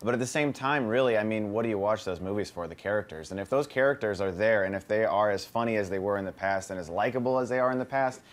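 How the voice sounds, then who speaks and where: A man's voice: on the low side, fast talker, few pauses. A man chatting casually as a fan on a talk show panel.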